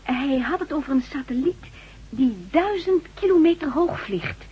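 An actress playing a caring, anxious wife in a radio drama, speaking soothingly and with concern. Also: female voice, sits high, animated, normal speed. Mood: hesitant, anxious. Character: worried, caring wife of an amnesiac former astronaut. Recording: dull, lo-fi radio play recording.

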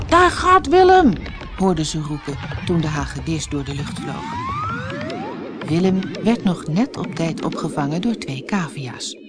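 Animated woman reading a fairy tale. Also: deep voice. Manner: dramatic, carefully phrased storytelling. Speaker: audiobook narrator. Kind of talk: expressive children's fairy-tale narration.

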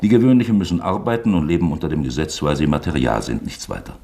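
An actor playing a sly, falsely genial investigator in a German radio play, mock-forgetful and probing with creaky politeness. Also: male voice, extremely low pitch, fast, mellow. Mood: feigned wonder, insinuating.

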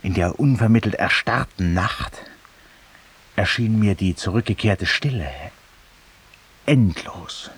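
A male actor playing a weary, ironic, haunted man who confesses to a stranger in a deliberate, courteous, literary monologue, in the style of 1950s German radio drama. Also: lowish voice, husky, full character voice. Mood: haunted, reflective. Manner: literary, deliberate, ceremoniously polite, confiding. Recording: hissy 1950s radio recording, distant voice.

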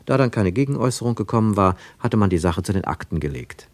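Casting: wry male storyteller for radio drama, lowish voice, close dry sound. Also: expressive, fast talker, a little mellow, dry recording. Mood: reflective. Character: wry, observant male narrator. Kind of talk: literary radio play narration.

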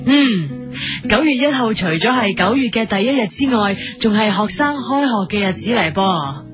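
A woman's voice: medium pitch, lively intonation, moderate pace, close to an everyday voice. A woman with a Hong Kong accent playing a genteel, patient TV magazine show host in a comedy radio skit. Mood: polite, chirpy. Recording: music playing behind.

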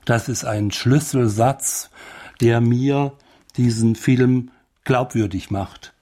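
Male commentator, unpolished and pensive. Raspy middle-register voice, reflective, feeling his way through phrases. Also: mid-range voice, slow pace, warm tone. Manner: thoughtful, searching for words.

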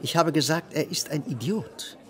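An actor playing a brusque, irritable bourgeois salon host in a radio play, curt and dismissive, with people chattering behind him. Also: male voice, a little high, animated, moderate pace, natural voice. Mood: contemptuous. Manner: curt, contemptuous. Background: murmuring crowd.

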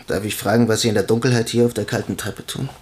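A man's voice: lowish voice, little pitch movement, quick pace, breathy edge. Reserved, probing male investigator. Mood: quiet, tentative. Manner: restrained, questioning, polite. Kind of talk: German radio play acting.

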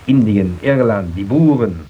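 A man's voice: on the low side, expressive, unhurried, slightly rough. An actor playing a bitter, accusing man in a propaganda radio drama, in a 1940s radio acting style. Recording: dull old radio recording.

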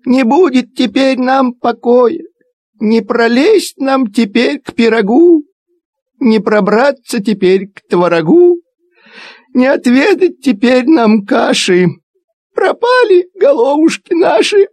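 A man's voice: very high voice, expressive, takes it slowly, darkish tone, no echo, voice pushed up, full character voice. A man voicing frightened little mice in a plaintive, panicky storybook lament. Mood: wailing despair. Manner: wailing, lamenting.

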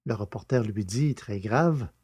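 A man's voice: fairly low pitch, unhurried, a little mellow, slightly rough. A man narrating a novel in a scripted, literary storytelling style. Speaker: audiobook narrator.

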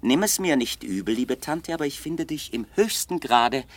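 An actor playing a wealthy young bachelor in a 1960s German radio play, polite, ironic and precise, with a mocking, quietly menacing manner. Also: male voice, medium pitch, expressive, moderate pace, close to an everyday voice. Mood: ironic, composed. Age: young adult. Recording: noisy vintage radio play recording.

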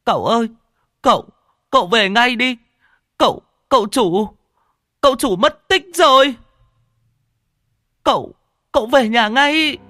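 A man's voice: very high-pitched, measured pace, pauses often, pushed and bright, no room sound, clean studio sound, pitched above the performer's own voice, full character voice. A man voicing a frightened young carriage boy who is crying and stammering. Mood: sobbing panic. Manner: stammering, frantic.

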